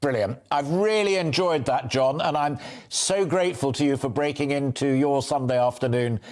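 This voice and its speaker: A man's voice: medium pitch, moderate pace, a little mellow. A middle-aged man with a British accent interviewing on a live news broadcast in an effusive, wordy, emphatic manner.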